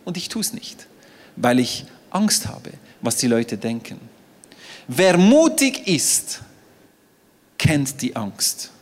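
A man whose first language is French, preaching a sermon on a stage in a playful, animated way. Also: on the high side, slow, pauses often. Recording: reverberant hall.

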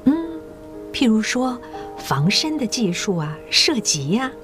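An actress playing a middle-aged female school principal who secretly recruits agents, speaking in a measured, coaxing and kindly authoritative way in an audio drama. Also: fairly high pitch, very animated, music in the background. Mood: encouraging. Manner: measured, coaxing, elder's patient tone.

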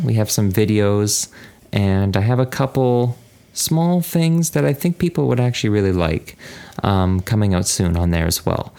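A man with a low voice hosts a language podcast. He speaks in a casual, conversational way, as if chatting through a lesson with a co-host and listeners. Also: darkish tone.

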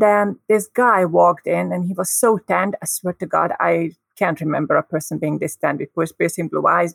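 A woman from Hungary, a guest telling a personal story in a lively, expressive way. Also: fairly low pitch, creaky, dry recording. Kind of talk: casual storytelling.

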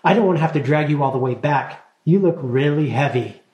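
Casting: male reader putting on a bossy, chattering gnome girl, high and bright, storybook audiobook. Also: a little fast, echoey room, lo-fi sound, lightly acted. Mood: blunt. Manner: blunt, morbid, hasty chatter. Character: bossy 263-year-old female gnome girl.

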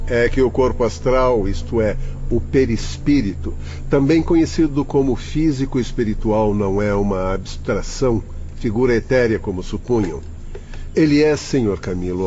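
An actor playing a kindly old male doctor in a radio drama, measured and formal, with a Brazilian accent. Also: lowish voice, warm tone. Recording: dull lo-fi radio drama, faint music.

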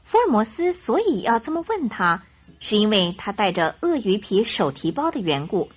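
Lively, husky woman storyteller. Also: mid-range voice, normal speed. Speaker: narrator of a radio drama. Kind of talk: expressive storytelling narration. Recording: music behind the voice.

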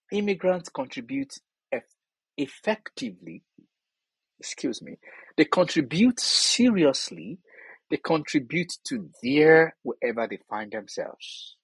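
Nigerian guy being interviewed, bit of a higher husky voice, earnest and chatty, really dry close sound. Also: no room sound, poor audio. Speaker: interview guest, theatre artist and scholar. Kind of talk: conversational interview answers with anecdotes.

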